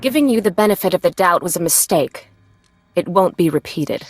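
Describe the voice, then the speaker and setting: A woman playing a stern, dutiful military subordinate in a sci-fi video game, crisp and formal. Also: lowish voice, lively intonation, fairly quick, no echo. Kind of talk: video game character acting.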